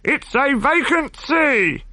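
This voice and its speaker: A man voicing a terrified pirate lookout who stammers and shouts down from the crow's nest. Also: animated, slow pace, dry recording, full character voice. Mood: dismissive. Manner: shrill, shouting down from afar.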